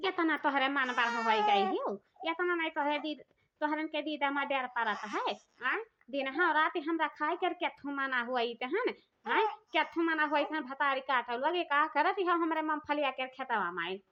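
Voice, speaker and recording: A woman's voice: high-pitched, expressive, moderate pace, pushed and bright. A woman playing an angry, scolding village farmer in a comic cartoon, speaking with an eastern Uttar Pradesh accent and repeating herself. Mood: indignant. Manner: scolding, repetitive, confrontational. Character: angry village woman farmer accusing thieves of stealing peanuts. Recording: dull lo-fi audio.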